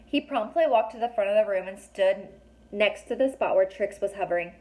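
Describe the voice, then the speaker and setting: A woman's voice: medium pitch, fairly quick, mellow, low-quality recording. A woman narrates a children's storybook in a mid-range voice. She reads carefully, as one reading aloud at length, occasionally correcting a word. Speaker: storybook reader. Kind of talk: children's novel read aloud.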